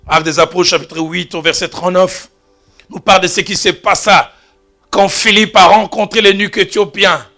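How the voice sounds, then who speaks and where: A pastor preaching to his congregation, a man with a bright, ringing voice. He tells his stories by dropping to hushed asides and then rising to loud exclamations. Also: high-pitched, fairly even intonation, moderate pace, faint music behind. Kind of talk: sermon. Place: church.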